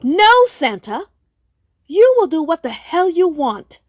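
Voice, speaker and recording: A woman's voice: extremely high pitch, big pitch swings, dry recording, clean studio sound, heavily acted. A woman playing a firm, no-nonsense doctor counselling Santa in a comic radio play. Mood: emphatic, encouraging. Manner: assertive, deliberate, probing.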